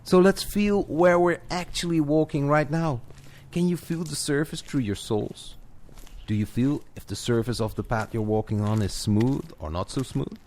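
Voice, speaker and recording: A man's voice: mid-range voice, moderate pace, a little mellow. A man speaking as a coach in a conversational guided session, addressing one listener with encouragement and playful asides. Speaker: coach guiding a walking audio session. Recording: clear background noise of outdoor walk effects. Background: added walking footsteps, garden birds, ambience.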